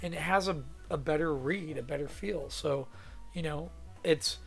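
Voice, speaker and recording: A man from California with a hoarse voice from a cold, thinking aloud in a rambling, casual way as he teaches a drawing demo in his home studio. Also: a little high, normal speed, faint music behind. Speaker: art instructor.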